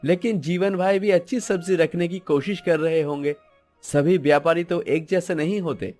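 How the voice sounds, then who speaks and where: A man plays a gentle young cartoon greengrocer with a high voice. He is courteous, honest and reassuring with his customers. Faint music sits underneath. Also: fairly even intonation, moderate pace, close to an everyday voice. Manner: polite, reassuring. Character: honest, gentle young male vegetable seller. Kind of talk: cartoon story acting.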